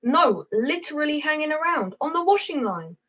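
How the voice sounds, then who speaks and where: Young woman as highish grumbling fluffy puppet. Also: very animated, normal speed, a bit bright. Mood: droll grumbling. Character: scruffy, grumbling fluffy puppet, droll and put-upon. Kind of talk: amateur puppet play dialogue. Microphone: video call. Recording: muffled video call audio.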